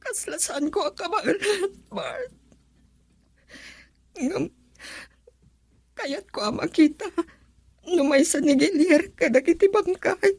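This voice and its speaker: A grief-stricken, fearful woman in a radio drama, acted by a woman. Her voice is high and pleading, recorded close and dry. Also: expressive, slow pace, long pauses, darkish tone, no echo, exaggerated voice. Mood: grieving. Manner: tearful, pleading. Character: grief-stricken, fearful woman fearing a loved one dead. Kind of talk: Ilocano radio drama acting.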